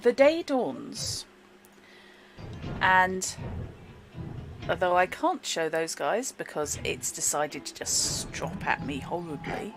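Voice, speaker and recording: A woman narrating as game master in a chatty, explanatory way, with fillers and quick laughs. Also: on the low side, very animated. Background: background music.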